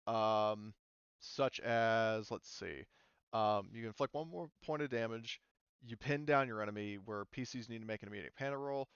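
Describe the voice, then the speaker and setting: A man's voice: lowish voice, a bit bright, smooth, no room sound, lo-fi sound. A man running a tabletop roleplaying game as game master, casually explaining rules.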